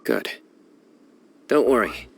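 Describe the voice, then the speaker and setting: An actor playing a stern, protective young male officer in a video game, speaking in a measured, grave, formal way. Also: slight background noise, natural voice. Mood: grave, calm.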